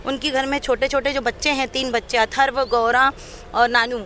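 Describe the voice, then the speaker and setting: Woman, higher-pitched voice, earnest, detailed personal storytelling, interviewee, light outdoor street noise. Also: fairly even intonation, moderate pace, fairly bright tone. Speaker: interviewee telling her own account. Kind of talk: unscripted personal account in an interview.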